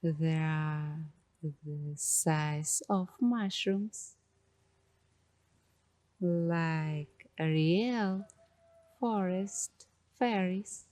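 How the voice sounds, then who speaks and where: A woman hosting a guided coloring ASMR session in a soft, hushed voice, speaking dreamily in short, halting phrases. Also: on the low side, dry recording. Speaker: ASMR host.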